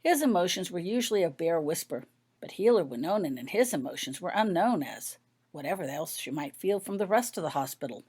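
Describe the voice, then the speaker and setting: A woman's voice: on the low side, animated, a little fast, darkish tone, breathy edge. A woman reading her own story aloud as scripted audiobook narration.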